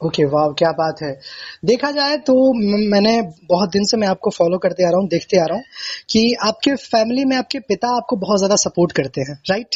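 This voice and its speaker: A man hosting a conversational phone interview, effusive and repeating himself, with a husky, high voice for a man. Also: animated, normal speed, darkish tone. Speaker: interviewer, radio-style host. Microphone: recorded phone call. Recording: dull, lo-fi phone call recording.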